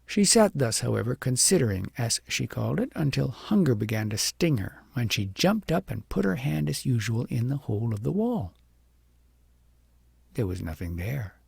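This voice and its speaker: A man narrating an audiobook fairy tale expressively, with deliberate, dramatic emphasis. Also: mid-range voice, very animated, vocal fry, a little mellow, breathy edge, no echo, clean studio sound.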